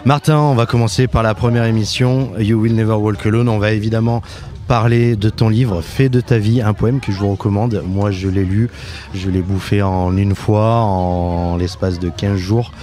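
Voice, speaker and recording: A man's voice: lowish voice, fairly even intonation, normal speed, dark tone. A man hosting a podcast, interviewing his guest in a casual, conversational way.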